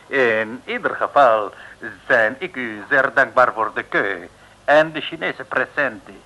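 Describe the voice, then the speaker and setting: A man's voice: mid-range voice, animated, a little slow, bright, forceful tone, poor audio. A man playing a suave, scheming foreign agent in a comic radio play, oily and courteous, with a mock Spanish accent and broken Dutch. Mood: smooth, self-important.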